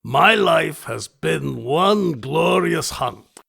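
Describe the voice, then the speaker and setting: An actor playing an alien aristocratic hunting fanatic in an audio drama, gravelly and raspy, with florid, theatrical, deliberate delivery. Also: male voice, a little high, lively intonation, pushed and bright, heavily acted. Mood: boastful, grandiose. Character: alien aristocratic hunting fanatic, obsessive, grandiose, menacing male host. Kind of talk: audio drama villain acting.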